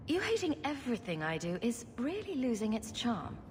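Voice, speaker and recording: Wry, lively young woman. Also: on the high side, moderate pace, a little hiss or hum. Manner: wry, dry. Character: wry, assertive young adult elder sister, fantasy heroine. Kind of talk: dramatic video game acting. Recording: long reverb, music behind.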